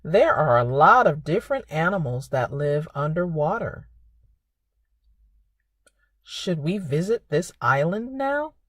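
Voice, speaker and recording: A woman hosting a preschool storytime, speaking in simple, expressive phrases for young children. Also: low voice, slow pace, pauses often, no echo, clean studio sound. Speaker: children's librarian and storytime host. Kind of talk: preschool storytime reading and narration.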